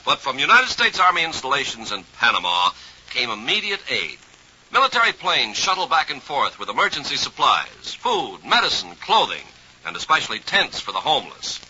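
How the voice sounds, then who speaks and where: A man working as a radio announcer, reading formal 1950s-style scripted narration with measured emphasis. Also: medium pitch, huge pitch swings, bright, forceful tone, breathy edge. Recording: dull vintage radio recording.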